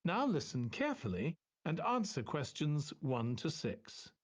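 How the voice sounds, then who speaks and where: A man reading scripted exam instructions as a narrator, in a measured announcing style. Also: on the high side, wildly swooping pitch.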